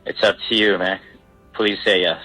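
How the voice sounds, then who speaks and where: A man with a very low, flat voice that still sounds bright, reading a prepared speech. He speaks earnestly and at a measured pace, with faint music playing behind. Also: poor audio. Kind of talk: earnest read confession.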